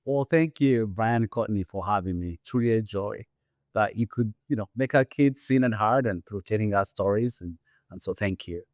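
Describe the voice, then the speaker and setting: A man's voice: fairly low pitch, moderate pace, darkish tone, no echo, studio quality. A middle-aged man with a Ugandan accent, a podcast guest earnestly telling his life story and leaning on "you know" as he talks. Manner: earnest, reflective, repeats "you know" often.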